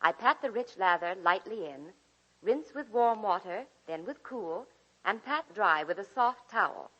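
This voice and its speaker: An actress playing a contented housewife giving a testimonial in a 1940s radio advertisement. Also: female voice, mid-range voice, expressive, unhurried, bright, forceful tone. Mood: pleased, instructive. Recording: dull vintage radio recording.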